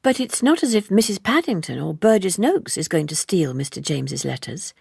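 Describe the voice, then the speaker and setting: A woman's voice: on the low side, expressive, moderate pace, gravelly, a little mellow, husky, no room sound, clean studio sound, exaggerated voice. A woman voicing an earnest, naive young woman of twenty-three in an audiobook, careful, direct and formally polite. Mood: businesslike, questioning. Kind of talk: audiobook character dialogue.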